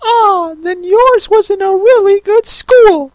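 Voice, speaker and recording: A woman voicing a mournful, touchy, sobbing turtle creature from a storybook, with a drawn-out, tearful delivery. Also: very high voice, no room sound, voice pushed up, low-quality recording. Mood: relieved.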